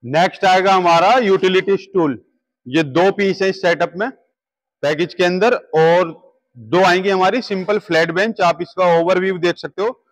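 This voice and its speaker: A man in his thirties giving a steady sales walkthrough of gym machines in a warehouse, on a lapel mic. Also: sits high, normal speed, poor audio. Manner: steady sales explanation, pointing at each machine. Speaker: gym equipment seller. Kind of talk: product walkthrough. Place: large indoor equipment warehouse.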